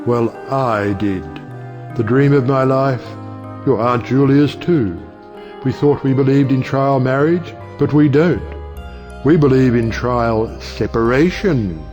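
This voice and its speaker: A man playing a slow, jovial old judge. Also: medium pitch, darkish tone, lo-fi sound, faint music behind, natural voice. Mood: jovial, confiding. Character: jovial, exuberant older judge, sentimental and speechifying. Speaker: actor in an audio play. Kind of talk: theatrical audio play acting.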